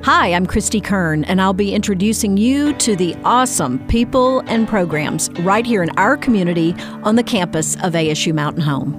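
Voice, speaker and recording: A woman's voice: mid-range voice, moderate pace, hardly any pauses, music in the background. A woman from Mississippi, hosting an interview show and gushing over her guest. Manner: gushing, effusive, excitable. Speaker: host. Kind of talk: casual interview chat.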